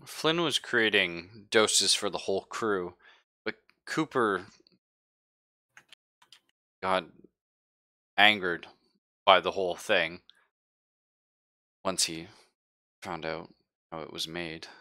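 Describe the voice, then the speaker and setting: Male game master for tabletop roleplay, low register, slow and measured, improvised in-character delivery. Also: pushed and bright.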